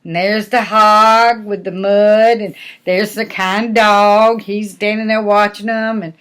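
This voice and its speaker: A woman's voice: medium pitch, little pitch movement, slow, a bit bright, clear, resonant tone. An older woman, a grandmother, reading a storybook aloud with folksy, chatty asides and questions to the listener.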